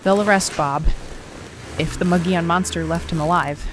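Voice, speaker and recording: A woman's voice: lowish voice, fairly even intonation, moderate pace, a little hiss or hum, lightly acted. A woman playing an earnest, reflective runner who narrates her own story in an audio drama. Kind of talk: first-person story narration with acted dialogue.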